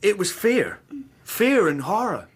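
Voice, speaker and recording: A man's voice: sits high, sing-song, takes it slowly, breathy edge, exaggerated voice. A man acting an earnest, deferential Victorian coachman in a radio drama. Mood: uneasy, grave.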